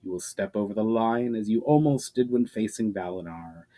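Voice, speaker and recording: A powerful, menacing man from a fantasy audiobook, acted out by a male reader. He is coolly patient and condescending, and he delivers his threats in measured, formal phrases. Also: on the low side, dark tone, lightly acted. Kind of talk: dramatic fantasy audiobook reading.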